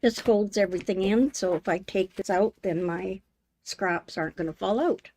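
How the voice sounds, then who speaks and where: An older woman hosting a live sewing demonstration, talking in a homey, unhurried way with many ums, her voice slightly hoarse. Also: mid-range voice, a little mellow. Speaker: sewing channel host. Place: home sewing room.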